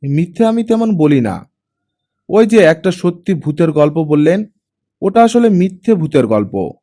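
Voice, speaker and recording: An earnest, outspoken teenage girl in an audiobook, acted out by a male narrator. The voice is pitched high and swings with lively intonation. The delivery is naive, direct and insistent. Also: unhurried. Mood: hurt, accusing.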